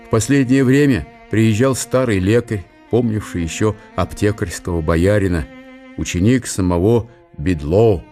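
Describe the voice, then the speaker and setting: A man's voice: on the low side, warm tone. A man narrating a historical radio play as scripted literary storytelling.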